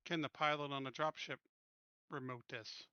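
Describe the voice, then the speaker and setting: A man plays a tabletop game, talking casually and asking questions. His voice is very bright and clean, captured dry with a slightly muffled top end. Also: medium pitch, fairly even intonation, normal speed, clean tone, no echo.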